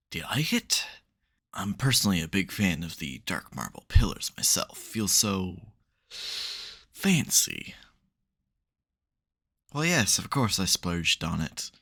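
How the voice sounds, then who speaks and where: A man's voice: low-pitched, creaky, a bit bright, full character voice. A man playing a smug, theatrical supervillain who secretly cares, in a dramatic, wry, chatty way for an ASMR roleplay.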